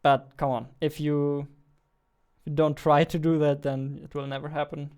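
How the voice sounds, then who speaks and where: A young man talks casually as he streams his game development work. His voice is fairly high for a man and quite smooth, with little rise or fall in pitch. He thinks aloud haltingly, often half to himself. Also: little pitch movement, a little mellow. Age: 20s. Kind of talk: casual livestream chat while working. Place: indoor room at a computer, keyboard clicks behind.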